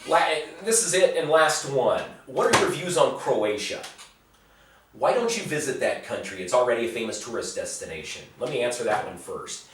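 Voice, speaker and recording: A man's voice: medium pitch, big pitch swings, moderate pace, recorded from a distance, background noise. A man talking bluntly in a casual vlog, answering viewer questions. He rambles, repeats words and swears freely. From United States. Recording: very echoey room, voice off-mic.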